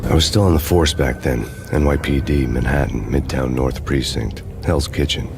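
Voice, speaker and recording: A deep, brooding noir cop. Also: male voice, moderate pace, music in the background. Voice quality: deep, flat, world-weary. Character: grim hard-boiled male cop, middle-aged, haunted. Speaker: detective narrator. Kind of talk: noir voice-over narration.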